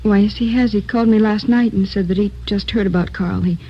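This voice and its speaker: A subdued, trusting young-to-the-grief widow in a 1950s radio play. Her voice is medium-pitched, polite and a little hesitant, on a dull old broadcast recording. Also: steady tone, fairly quick, husky. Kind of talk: 1950s radio drama acting.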